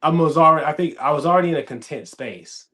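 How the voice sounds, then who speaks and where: A man in his thirties hosting a casual podcast chat, telling stories with lively acted-out quotes. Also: on the high side, fast talker. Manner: animated storytelling, acts out quoted dialogue. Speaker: podcast host.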